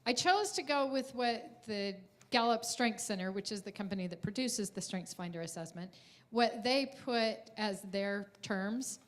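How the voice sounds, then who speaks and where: A woman's voice: mid-range voice, unhurried. A woman presenting a conference workshop, talking in an encouraging, motivational way to the room.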